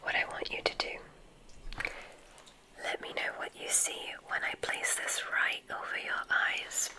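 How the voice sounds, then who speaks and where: Slow whispering woman, ASMR witch roleplay. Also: rough recording. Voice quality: breathy, unvoiced whisper. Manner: gentle, unhurried, soothing, theatrical word choice with soft repetitions. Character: kindly witch medical examiner at a magic school. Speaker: ASMR performer playing a witch doctor.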